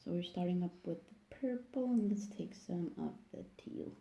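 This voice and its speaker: A woman's voice: lowish voice, normal speed, mellow, rough recording. A woman from Sweden with a Swedish accent, speaking English as a second language in a casual hands-on tutorial, hesitant and searching for words. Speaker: nail technician making tutorial videos.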